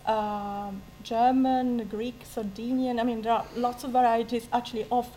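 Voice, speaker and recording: Italian woman on a panel, bit high voice, lively, laughs at herself, faint room hiss. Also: normal speed, poor audio. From Italy. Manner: lively, self-mocking, laughs often, many restarts. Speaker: university language teacher on a debate panel. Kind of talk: spontaneous panel debate answer.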